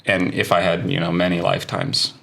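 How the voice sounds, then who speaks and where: A man's voice: deep voice, monotone, brisk, gravelly, a bit bright. A man talking as an interview guest, a language teacher musing thoughtfully and hedging as he reflects. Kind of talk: casual interview conversation.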